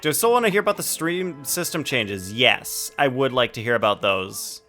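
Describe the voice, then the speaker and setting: Bright-voiced man streaming casually. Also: medium pitch, lively intonation, normal speed. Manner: thinks aloud while setting things up. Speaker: livestreamer, host. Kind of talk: casual gaming stream chat. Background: background music.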